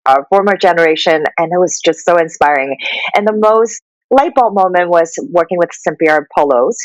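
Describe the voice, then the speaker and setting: Woman from hong kong, low voice, dry close sound, reflective guest, 30s. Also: dry recording, low-quality recording. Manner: reflective, friendly, with fillers. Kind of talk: conversational interview.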